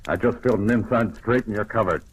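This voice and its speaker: A man's voice: on the low side, quick pace, breathy edge. An actor playing a cold, threatening gangster boss in old radio crime drama, talking in clipped tough-guy slang. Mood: menacing, gloating. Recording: noisy old radio recording.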